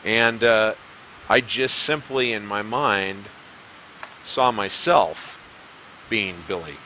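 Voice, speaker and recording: A man with a low but bright, forceful voice asks long, winding questions with enthusiasm while hosting a casual conversation with a musician. A faint hiss runs under his speech. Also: takes it slowly, low-quality recording. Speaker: interview host, musician.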